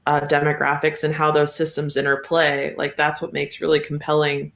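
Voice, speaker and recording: A woman chatting casually as a podcast guest, wry and halting, recorded with a roomy echo. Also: deep voice, monotone, creaky, fairly bright tone. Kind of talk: casual chat. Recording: roomy, echoey sound.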